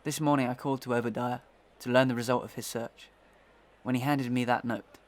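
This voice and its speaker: A determined gentleman played by a man in a Victorian audio melodrama. His voice sits at a middle pitch, with formal, methodical phrasing and a dramatic storyteller's weight, full of suspicion. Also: steady tone, a little fast, natural voice.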